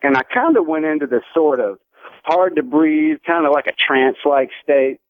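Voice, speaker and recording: A thin telephone line carries an American man in his forties. His voice sits a little high, and he recounts a personal anecdote earnestly and conversationally, as a caller to a radio show. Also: lively intonation, moderate pace, no room sound. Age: 40s. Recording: tinny narrow phone line on radio.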